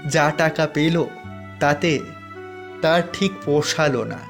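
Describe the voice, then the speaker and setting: Slow-paced male storybook narrator. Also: fairly high pitch, faint music behind. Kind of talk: scripted fairy tale narration.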